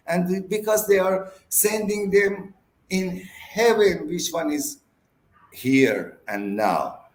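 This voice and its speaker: A man from Iran with an Iranian accent, a native Persian speaker giving interview answers in halting, broken English. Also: high voice, lively intonation. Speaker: interview guest. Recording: roomy echo.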